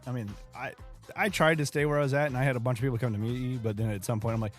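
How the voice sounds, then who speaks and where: A man with a hoarse voice co-hosts a podcast and talks over beers in a loose, rambling way. He laughs often and sits at a middle pitch. Faint music plays under him. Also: steady tone, racing. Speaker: podcast co-host. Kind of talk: casual chat over beers.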